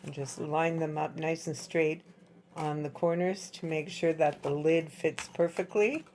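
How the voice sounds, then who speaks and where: A woman with a low, husky voice talks through a craft project step by step. Her voice is slightly hoarse from dry air, and her tone stays relaxed and chatty as she works with her hands. A faint hiss and the odd click sit under the recording. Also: unhurried. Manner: chatty, explains while working with her hands. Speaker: craft tutorial host.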